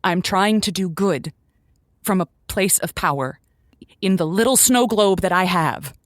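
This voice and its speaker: A woman's voice: on the low side, measured pace, no room sound, studio quality, lightly acted. An actress playing a guarded, defensive woman who hosts a show, in a naturalistic audio drama style. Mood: earnest, uneasy. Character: guarded, defensive woman radio host hiding behind a persona.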